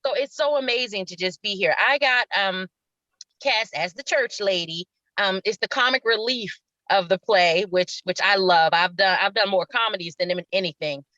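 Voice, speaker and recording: A woman's voice: medium pitch, normal speed, pushed and bright, dry recording, highs cut off. A middle-aged woman telling stories casually as an interview guest, effusive and repeating words for emphasis. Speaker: stage actress, interview guest.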